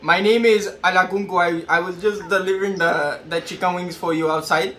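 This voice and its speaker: High-pitched young man making prank calls. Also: fairly even intonation, fairly quick, pushed and bright. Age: 20s. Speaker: prank caller. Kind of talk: prank phone call with feigned seriousness. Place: small plain room indoors.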